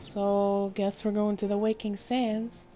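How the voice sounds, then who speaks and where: A woman's voice: medium pitch, little pitch movement, a little slow, mellow, faint music behind. A woman streamer giving casual, murmured asides while she watches a game. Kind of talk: casual gameplay reaction.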